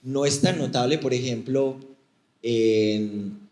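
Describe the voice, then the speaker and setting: A man's voice: medium pitch, slow, a little mellow. A man from Medellín with a paisa accent, an audience member asking a long, rambling question with apologetic asides at a live event. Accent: Colombian, Medellín (paisa). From Colombia. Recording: roomy auditorium sound.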